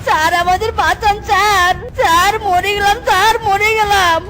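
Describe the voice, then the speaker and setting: A woman's voice: very high voice, steady tone, crowd noise. A woman voicing a greedy, wheedling orphanage manager in a children's cartoon, pleading in repeated phrases. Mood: begging. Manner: hurried, repetitive pleading.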